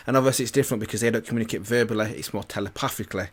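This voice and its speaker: A man's voice: lowish voice, racing. A man giving casual reaction commentary as a video host, rambling and thinking questions through aloud.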